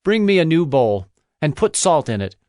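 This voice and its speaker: Fervent, high-voiced prophet played by a man. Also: big pitch swings, normal speed, a little mellow, no echo, studio quality. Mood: commanding. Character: Elisha, solemn, devoted male prophet of ancient Israel. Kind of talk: dramatized scripture acting. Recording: background music under the voice.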